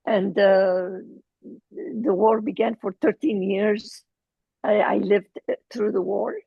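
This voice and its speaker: A middle-aged woman with a Lebanese accent, telling her personal story as an interview guest, halting as she searches for words. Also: mid-range voice, fairly even intonation, vocal fry, breathy edge, no echo, lo-fi sound.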